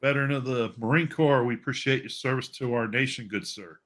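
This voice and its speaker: A man hosting a casual livestream chat on a video call, talking in a halting, rambling and jokey way. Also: medium pitch, steady tone, fairly bright tone. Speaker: livestream host. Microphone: video call stream.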